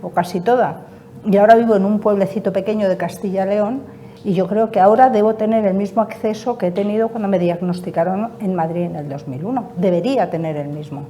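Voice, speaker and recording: A woman's voice: medium pitch, fast, mellow, a little hiss or hum. A woman representing a patients' association, answering interview questions emphatically and repeating her key points. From Spain.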